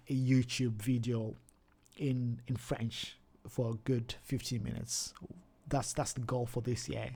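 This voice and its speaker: A young man with a thick Zimbabwean accent walks through a casual tutorial. His voice is gravelly and a bit husky, and he often trips over his words and repeats them before carrying on. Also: medium pitch, a little mellow. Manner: halting, many repeated words and restarts. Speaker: YouTuber. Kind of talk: casual screen-share tutorial.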